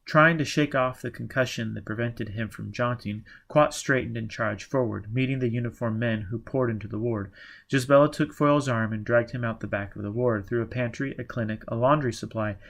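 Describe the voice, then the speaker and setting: A man narrates a novel as an audiobook reader, with a middle-pitched voice. He delivers the prose as dramatic, scripted storytelling. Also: moderate pace, gravelly, fairly bright tone.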